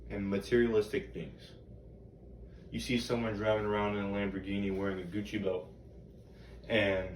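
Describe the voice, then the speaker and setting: A man's voice: fairly low pitch, natural voice. An American man playing an earnest motivational teacher, giving students a rambling, emphatic, colloquial monologue. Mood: skeptical. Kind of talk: self-written monologue, motivational speech. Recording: echoey room, distant mic, background noise.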